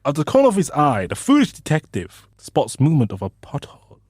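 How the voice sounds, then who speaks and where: Man acting as a snarky, lively comedy narrator. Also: a little high, fairly quick, husky, dry recording, studio quality, full character voice. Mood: sardonic. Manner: sardonic, theatrical. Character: snarky male narrator who mocks the hero. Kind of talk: scripted comic radio drama narration. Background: music.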